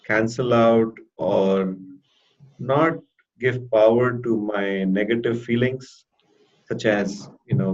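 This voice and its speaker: A man with a stutter giving a halting, deliberate personal testimonial as a therapy client in an interview. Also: mid-range voice, a little mellow. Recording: dull lo-fi audio.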